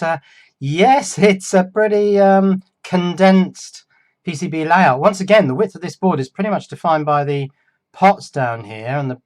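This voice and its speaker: Chatty man thinking aloud. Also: fairly high pitch. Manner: musing aloud, chatty, addressing the viewer. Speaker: electronics hobbyist and video presenter. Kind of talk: casual hands-on build commentary. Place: small cluttered home workshop.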